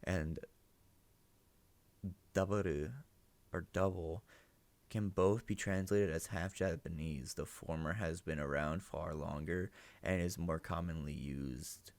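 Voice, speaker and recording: A man with a lowish voice hosts a reaction video in a casual, analytical way. He muses aloud, checking his points as he goes, in a dry, close recording. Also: fairly even intonation, slow pace, no room sound. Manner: musing, thinking aloud, seeking agreement with "right?".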